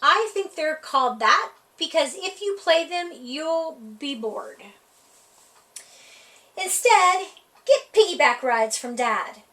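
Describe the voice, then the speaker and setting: A woman reading a children's picture book aloud for a library storytime, with a playful, expressive delivery. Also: high-pitched, takes it slowly. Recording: voice heard from a distance off the mic.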